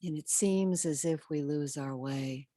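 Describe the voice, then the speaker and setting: Slow, low-voiced woman leading gentle meditation. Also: no echo, studio quality. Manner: gentle, soothing, measured with long pauses. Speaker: spiritual coach leading guided meditation. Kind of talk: guided meditation and prayer.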